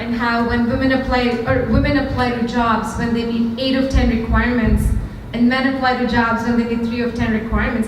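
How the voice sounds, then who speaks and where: A young woman from India with an Indian accent, giving a candid, conversational talk to a live audience with frequent ums. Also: a little high. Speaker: startup founder giving a talk. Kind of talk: storytelling lightning talk. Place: event hall with audience. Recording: very echoey hall from far off, noisy.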